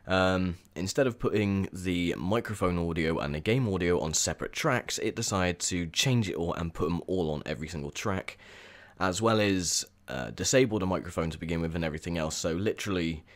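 A young man with a croaky, sore voice, chatting casually through gameplay commentary with lots of ums. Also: low voice, a little fast, few pauses. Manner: chatty, rambling with many ums. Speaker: gaming video host.

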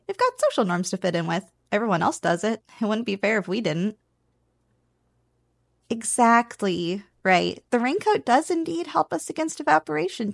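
Woman playing a teasing slime girl roommate, creaky voice. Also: medium pitch, dry recording. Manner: teasing, chatty, conversational with chuckles. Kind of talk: ASMR roleplay, one-sided casual conversation.

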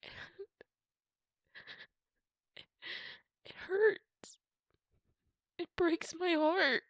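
A woman playing a heartbroken young girlfriend in an ASMR roleplay, crying and pleading in halting phrases, often slipping into a breathy whisper. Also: extremely high pitch, dry recording, exaggerated voice. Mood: hurt, tearful. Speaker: roleplay voice actor. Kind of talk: intimate ASMR roleplay acting.

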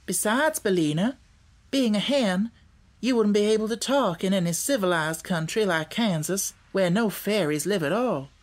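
Male reader as little girl, raised pitch, confident, earnest, matter-of-fact, audiobook character. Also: expressive, moderate pace. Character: cheerful, confident, practical little farm girl.

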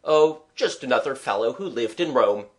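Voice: mid-range voice, lively intonation, moderate pace, lightly acted. A reader voicing a genial, well-travelled man who paints, with a dry, kindly, musing manner in a storybook. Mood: dry, hearty.

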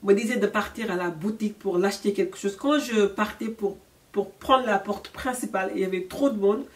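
A woman's voice: mid-range voice, fairly quick, recorded from a distance. Chatty Senegalese woman telling her story. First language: French. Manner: chatty and candid, laughs often, mixes in English words. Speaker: YouTuber. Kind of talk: storytime vlog. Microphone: camera at chest-up framing.

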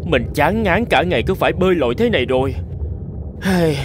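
Man as lively, restless cartoon dog. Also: a little high, fairly quick, background noise, close to an everyday voice. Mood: musing. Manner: expressive, eager. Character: restless, wistful male dog wishing to change. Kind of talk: children's cartoon dubbing.

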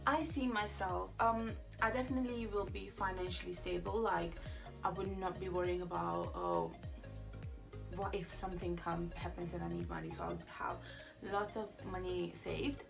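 A woman's voice: lowish voice, big pitch swings, normal speed, creaky, distant mic, over music. A young woman from Italy chatting casually in a vlog, rambling with lots of fillers and restarts, sitting in a small room. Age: young adult. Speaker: YouTuber. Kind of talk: casual get-to-know-me chat.

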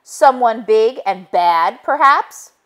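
A woman's voice: fairly high pitch, lively intonation, takes it slowly, a bit bright, voice pushed up. A woman voicing a male egg detective from a storybook, earnest and wisecracking, with a questioning, matter-of-fact manner. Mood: accusing.

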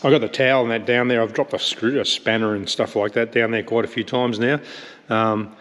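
A man's voice: medium pitch, fairly even intonation, fairly quick, a bit bright. A man casually talking through his aircraft build as he works, thinking aloud with plenty of hesitations. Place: large metal hangar workshop. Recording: echoey hangar, faint background noise.

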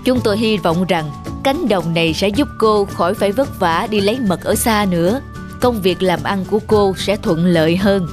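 An actor playing a gentle old male bear wizard mentor in a children's cartoon, speaking patiently and earnestly. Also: mid-range voice, moderate pace, music in the background, close to an everyday voice. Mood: warm, pleased. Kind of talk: dubbed children's moral cartoon.

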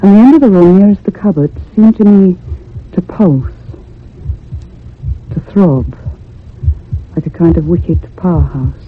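An actress playing a thoughtful, troubled young woman in a radio ghost drama, speaking in a grave, measured, haunted way. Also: lowish voice, slightly rough. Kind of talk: old-time radio drama narration. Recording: muffled phone-like radio recording with hum.

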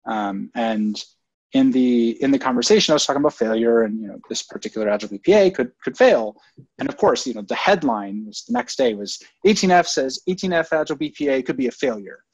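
A man's voice: medium pitch, lively intonation, a little fast, breathy edge, no echo. A man answering interview questions in a thoughtful, conversational way, with frequent ums and restarts. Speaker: guest, former government technology leader. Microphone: online call audio. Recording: dull, dry lo-fi online audio.

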